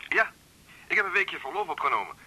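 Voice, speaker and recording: A man's voice: a little high, sing-song, quick pace, pushed and bright, breathy edge, exaggerated voice, rough recording. An actor playing a cheerful, teasing middle-aged male colleague in a radio drama, breezy and slightly formal. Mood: breezy, polite. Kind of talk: naturalistic 1980s radio drama acting.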